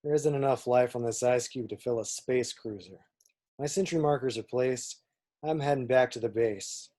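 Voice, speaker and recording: A man reading the part of a cocky, gruff smuggler captain in an amateur table read. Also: medium pitch, normal speed, dark tone, lightly acted. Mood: laid-back.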